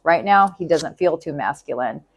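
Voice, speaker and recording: A woman reading tarot in a casual, chatty way, thinking aloud and repeating herself, her voice going hoarse. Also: sits low, expressive, normal speed. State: losing her voice. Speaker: tarot reader.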